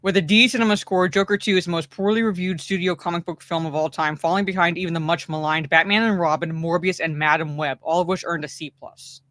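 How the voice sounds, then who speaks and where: A woman's voice: fairly low pitch, fairly even intonation, fast, few pauses, a bit bright, no echo. A woman co-hosting a casual stream chat, blunt and exasperated, swearing freely as she rants. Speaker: co-host and streamer.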